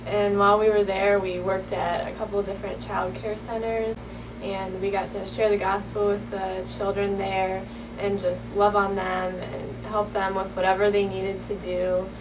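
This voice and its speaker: A woman giving a testimonial about a trip in a steady delivery. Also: mid-range voice, moderate pace. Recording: distant mic with hum.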